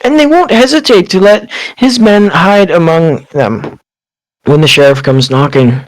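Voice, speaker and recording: A man's voice: high-pitched, a little slow, low-quality recording. A man bantering casually in a party game with friends and reading out character lines.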